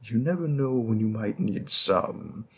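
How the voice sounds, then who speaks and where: Male voice actor for a slow, husky, seductive mob boss, mid pitch, playful menace. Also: animated, exaggerated voice. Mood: teasing. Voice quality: gravelly, husky. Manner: teasing, slow and seductive, dropping into whispers. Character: flirty smooth-talking male mafia boss. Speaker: audio roleplay performer. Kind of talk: audio roleplay acting.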